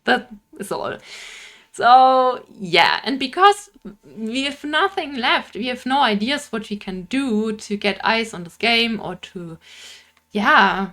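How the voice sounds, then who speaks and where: A woman's voice: a little high, expressive, slow, a bit bright. A young woman with a Bavarian German accent, an indie game developer talking casually to camera, laughing often and searching for words.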